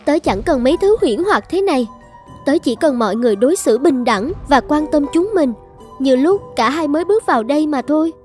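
A woman as a high-voiced, humble clay jar. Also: animated, fairly quick, a little mellow, faint music behind. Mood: earnest. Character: humble, gentle ceramic jar that wants equality. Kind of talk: animated fairy tale dialogue.

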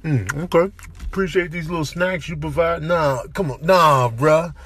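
Guy as indignant picky dog, slangy, slightly high voice, funny animal voiceover, bit of noise. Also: moderate pace, a bit bright, lightly acted. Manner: slangy, repeated refusals.

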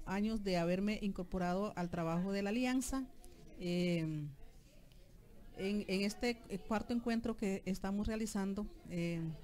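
A woman's voice: medium pitch, warm tone. A middle-aged woman with a Costa Rican accent answering in an interview, hesitant and repeating words as she searches for the next one. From Costa Rica. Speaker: interviewee, alliance leader. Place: outdoor patio at night. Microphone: handheld microphone held by interviewer.

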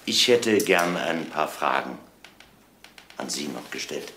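An actor playing a courteous, methodical police chief inspector in a radio crime play, questioning step by step. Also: male voice, very deep voice, sing-song, takes it slowly, noisy background, full character voice. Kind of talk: German radio crime drama acting.

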